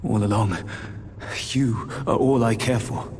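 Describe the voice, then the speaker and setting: A man's voice: lowish voice, a little slow, darkish tone, a little hiss or hum, lightly acted. A man playing a scheming, arrogant immortal suitor in a fantasy game, with formal, theatrical and courtly speech. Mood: grave, persuasive. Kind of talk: dramatic fantasy game acting. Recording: music behind the voice.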